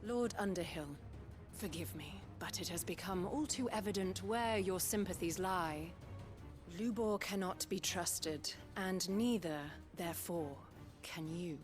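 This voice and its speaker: A haughty, suspicious female townsperson played by a woman in a dramatic game. Her lowish voice is pointed and sarcastic, over loud music. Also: unhurried, close to an everyday voice. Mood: cold sarcasm. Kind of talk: dramatic video game acting.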